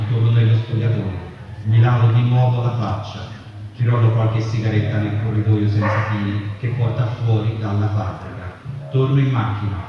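A man giving a deliberate, dramatic literary reading of a first-person account, with short phrases and weighty pauses. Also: on the low side, background noise. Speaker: reader at a literary performance. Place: live festival performance in a large reverberant space. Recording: very echoey hall, distant, muffled, music over it. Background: loud music.

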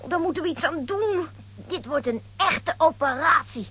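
A plucky child adventurer who takes charge in a children's radio play. The voice is high, bright and sharp. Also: female voice, expressive, moderate pace, full character voice. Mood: determined.

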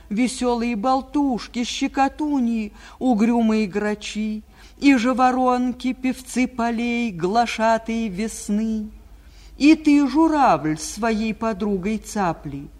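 An actress playing a stately, tender spirit of spring, declaiming lyrical verse in a 1940s radio fairy tale. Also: female voice, a little high, a little mellow. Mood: rousing, calling.

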